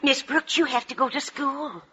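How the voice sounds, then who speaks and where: A woman's voice: high voice, fairly even intonation, normal speed, sharp tone. An actress playing a fussy, motherly landlady in a 1940s radio comedy, exclaiming and coaxing. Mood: urgent. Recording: noisy, dull vintage radio recording.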